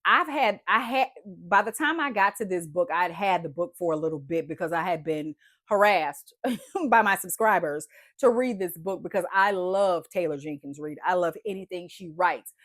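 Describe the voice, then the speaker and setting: A woman's voice: lowish voice, normal speed, no room sound, clean studio sound. Stuffy-nosed chatty woman book vlogger. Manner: chatty, animated, says "y'all" and "like" often. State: congested, stuffy nose. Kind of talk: casual book review chat.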